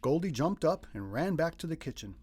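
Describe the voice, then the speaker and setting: A woman reads a children's picture book aloud as narrator. Her voice is low and husky, with very lively, wide-swinging intonation. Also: a little fast. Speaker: storybook narrator. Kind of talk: animated children's story read-aloud.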